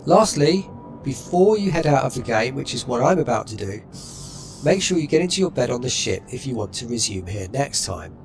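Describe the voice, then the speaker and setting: A man narrating a game walkthrough in a measured, matter-of-fact way. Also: mid-range voice, sing-song, creaky. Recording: music playing behind.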